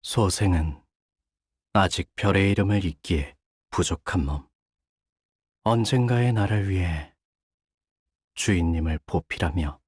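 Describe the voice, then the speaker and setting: An actor playing a young, bird-like warrior in a game, polite and formal in speech yet bloodthirsty, with theatrical delivery. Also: male voice, deep voice, slightly rough, dry recording, studio quality. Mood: composed, devoted. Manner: polite, formal speech breaking into fierce intensity. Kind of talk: Korean game character voice lines, theatrical.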